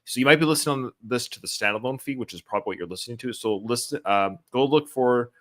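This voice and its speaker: A Canadian-accented man hosting a podcast while clearly tired, rambling and losing his train of thought. His voice sits at a middle pitch for a man. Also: quick pace. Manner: rambling, loses his thread, laughs at himself. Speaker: podcast host. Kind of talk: casual podcast chat.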